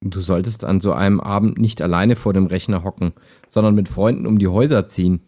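A man playing a calm, helpful, lonely chat partner in an audio drama, with a measured, earnest delivery. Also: deep voice. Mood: concerned, wistful. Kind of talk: amateur audio drama dialogue, read chat.

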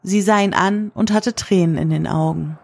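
A woman with a husky voice reads scripted literary narration for an audio drama. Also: fairly low pitch, moderate pace, a little mellow.

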